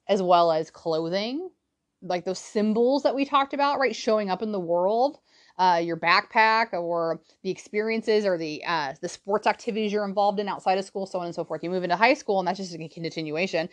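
A woman in her thirties hosting a solo personal-development podcast, chatty and blunt, often checking in with her listeners. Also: fairly low pitch. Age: 30s. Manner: chatty, keeps checking in with "right?" and "all right?"; blunt and a little profane. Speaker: podcast host.

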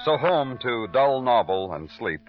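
An actor playing a wry, weary New York police detective who narrates in a lyrical style, in an old radio crime drama. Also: male voice, medium pitch, big pitch swings, unhurried, loud music behind the voice. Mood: brooding, poetic. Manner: clipped, dry delivery in dialogue, florid in narration. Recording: muffled old radio recording.

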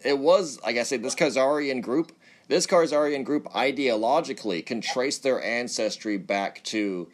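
A man's voice: medium pitch, moderate pace. A man talking bluntly in a casual livestream conversation, swearing often and filling gaps with "like" and "uh". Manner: blunt, profane, filler-heavy.

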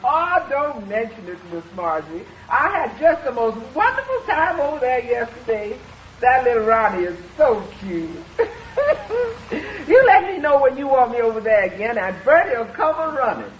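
An actress playing a cheery, devoted, effusive housekeeper in an old-time radio comedy. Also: female voice, on the high side, big pitch swings, moderate pace. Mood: cheery, fond. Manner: exuberant, effusive. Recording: muffled old radio recording, background hiss.

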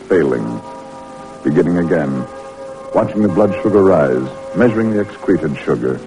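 An actor narrating an old-time radio drama as a solemn storyteller, in measured, dramatic phrases. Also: male voice, lowish voice, low-quality recording. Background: dramatic music underneath.

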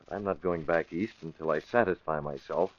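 A low-voiced determined man in a vintage radio mystery. He speaks firmly and skeptically, insisting on his point, with the dull sound of an old broadcast. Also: brisk. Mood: firm, doubtful. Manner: firm, insistent. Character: determined, skeptical young man, investigator hero. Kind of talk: 1940s radio drama acting.

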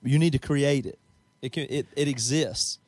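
A man hosts a podcast with an emphatic, ranting push behind his words. His voice is middle-pitched and recorded dry and close. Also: moderate pace, no room sound. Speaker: podcast host. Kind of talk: casual chat.

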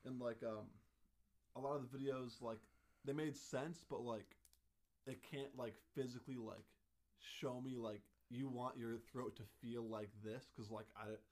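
A young man with a voice of middling pitch tells a long, meandering story in a casual chat. He leans on "like" constantly and picks up half-finished thoughts as he goes. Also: steady tone, a little slow, darkish tone. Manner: chatty storytelling, heavy use of "like".

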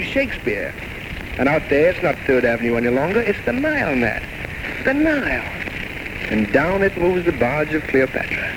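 A man playing an eloquent, charming but desperate drinker in an old-time radio drama, with florid, mock-grand, poetic delivery. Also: fairly high pitch. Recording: noisy vintage radio recording with music.

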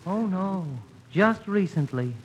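An actor playing a genteel, serenely deluded professor in 1940s radio comedy, polite and earnest. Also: male voice, high-pitched, expressive, slow pace, slight background noise. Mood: dreamy, courteous. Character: genteel, dotty male professor who thinks himself Napoleon. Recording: muffled vintage radio recording.

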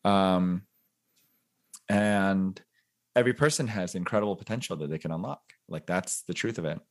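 A man in his forties talks casually as a podcast guest, his voice sitting a little low. He reflects out loud, pausing on fillers and restarting sentences as he works out what he means. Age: 40s. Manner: reflective, thinks aloud with many fillers and restarts. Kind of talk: casual interview chat.

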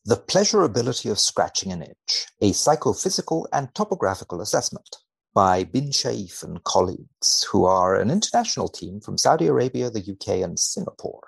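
A man speaking as a researcher guest on a podcast, dry and mock-serious in a measured delivery. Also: lowish voice, sing-song, creaky, breathy edge. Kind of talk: podcast chat and reading aloud from a study.

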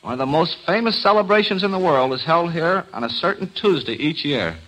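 A man with a somewhat high voice reads travelogue clues for a quiz. He speaks as a guest quizmaster on old-time radio, with the sound of a vintage broadcast. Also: animated, a little fast, a bit bright, poor audio. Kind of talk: scripted travelogue quiz narration.

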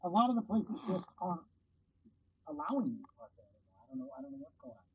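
Husky-voiced man chatting in a car. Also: high-pitched, big pitch swings, fast, no room sound, highs cut off. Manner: rambling, self-deprecating, chatty. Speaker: podcast host. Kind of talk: casual solo podcast chat. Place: parked car.

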